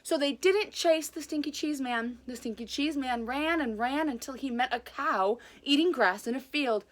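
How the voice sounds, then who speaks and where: A woman reading aloud as a storyteller, casual and chatty, with an enthusiastic, expressive delivery. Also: high voice, moderate pace.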